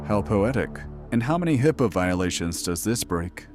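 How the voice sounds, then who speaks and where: An actor playing a suave late-night horror radio host, dry and sardonic with a theatrical turn. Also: male voice, lowish voice, sing-song, normal speed, music in the background.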